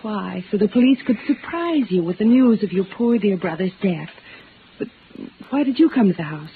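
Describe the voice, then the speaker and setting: A woman's voice: medium pitch, lively intonation, moderate pace, narrow, tinny audio, lightly acted. An actress playing a scheming, melodramatic showgirl in an old-time radio crime drama, with theatrical, emphatic delivery. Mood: solicitous. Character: scheming, catty showgirl woman with melodramatic flair. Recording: thin, slightly noisy old radio recording.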